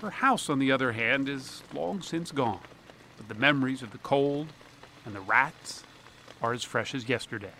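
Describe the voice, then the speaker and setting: Bright lively male news narrator. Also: mid-range voice, moderate pace, a little hiss or hum. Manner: polished, storytelling. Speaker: TV news correspondent.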